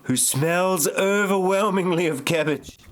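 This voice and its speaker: A man's voice: high-pitched, unhurried. A man playing a sweetly romantic, slyly mocking woman in love in an amateur parody audio play. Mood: dryly mocking.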